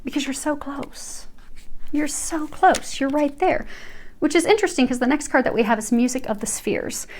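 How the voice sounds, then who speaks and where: A woman's voice: high voice, moderate pace, darkish tone. Reassuring woman giving a tarot reading. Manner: earnest, reassuring, addresses viewers directly. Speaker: tarot reader.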